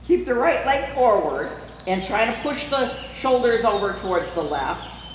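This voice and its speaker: A woman's voice: on the high side, very animated, moderate pace, recorded from a distance. A woman coaching a horse rider live, calling out short commands and often repeating them. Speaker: horse riding instructor. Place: large indoor riding arena. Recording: very echoey, reverberant hall with faint noise.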